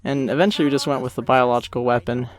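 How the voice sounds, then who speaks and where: A man giving a casual, rambling and self-deprecating commentary on an animated series he made. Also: medium pitch, very fast. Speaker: creator of an animated parody series, commentary host. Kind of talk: casual commentary track chat.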